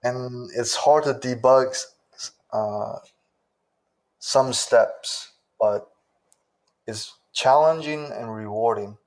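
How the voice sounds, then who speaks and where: Interview guest, a man from southern China; unhurried, deliberate delivery. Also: medium pitch, rough recording. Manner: slow, measured, with frequent "uh" pauses. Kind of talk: casual interview answers.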